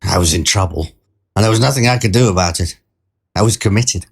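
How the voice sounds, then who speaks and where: A man's voice: low voice, a little fast, creaky, husky. A man narrating a first-person short story in a conversational, wry and reflective way. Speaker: storyteller, performer.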